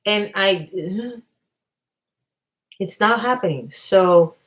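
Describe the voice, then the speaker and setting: Slightly hoarse woman chatting on a livestream. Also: medium pitch, drawn-out words. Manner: chatty, reads viewer comments aloud; frequent throat clearing. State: losing her voice. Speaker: livestream host. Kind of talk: casual livestream chat.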